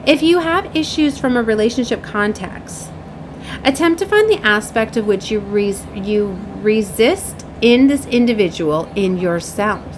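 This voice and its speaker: A woman's voice: a little high, lively intonation, unhurried, noisy background. A woman hosting a spiritual card reading, reading oracle messages aloud in a mystical, declarative way. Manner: solemn, declarative, mystical phrasing. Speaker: spiritual card reader, host. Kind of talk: reading oracle cards aloud with casual asides.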